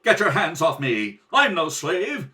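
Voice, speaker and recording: A man's voice: a little high, very animated, unhurried, pushed and bright, heavily acted. A man playing a brave, earnest young adventure hero in old radio serial style. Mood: defiant. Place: home kitchen table. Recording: distant home kitchen-table rehearsal recording.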